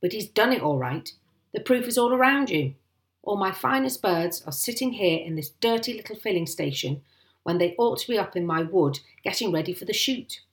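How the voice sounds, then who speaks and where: A woman's voice: on the low side, lively intonation, normal speed. A woman voicing a furious, haughty male landowner in a storybook, blustering, bullying and demanding.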